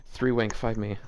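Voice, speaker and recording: A man's voice: mid-range voice, vocal fry, no echo. A man hosting a casual read-aloud of fan fiction, rambling and breaking off into joking asides. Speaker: host reading fanfiction aloud for a video series.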